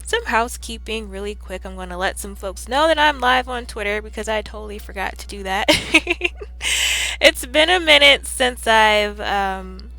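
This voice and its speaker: A young woman chatting casually on a live stream, upbeat and rambling, with a slightly hoarse, scratchy throat. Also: a little high, pushed and bright.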